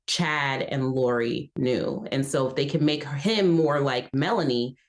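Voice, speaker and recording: A woman's voice: a bass voice, unhurried. A woman giving assertive, articulate legal commentary as an analyst on a panel. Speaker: legal analyst, defense perspective.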